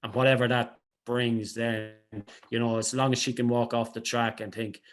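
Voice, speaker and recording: A man giving measured sports analysis as a studio guest, leaning into emphatic points. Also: medium pitch, little pitch movement. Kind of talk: studio discussion.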